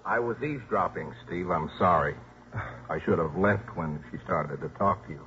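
Kind apologetic priest, deep voice, earnest, thin phone-like 50s radio sound. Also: male voice, lively intonation, normal speed, a bit bright. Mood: apologetic, concerned. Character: kindly, apologetic priest Father Malone, earnest confidant. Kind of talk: 1950s radio drama acting. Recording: thin, tinny 1950s radio broadcast.

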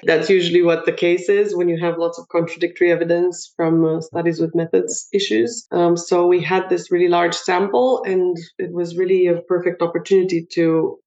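A woman from Romania talks as a guest psychology professor, explaining carefully and in a measured way. Her voice sounds roomy and a little dull, as over a lo-fi remote connection. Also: on the low side, darkish tone. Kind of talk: interview answers.

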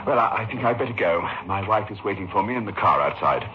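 An anxious, polite doctor played by a man talks hesitantly with a very lively pitch. It is a radio play on a muffled old recording with faint noise. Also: fast, close to an everyday voice. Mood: uneasy, polite. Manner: hesitant, stammering. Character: uneasy married male doctor, polite and anxious. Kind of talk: 1960s radio drama acting.

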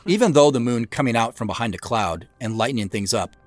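A man's voice: mid-range voice, expressive, a little fast, no room sound. A man giving scripted commentary on his audio drama as its creator. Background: music.

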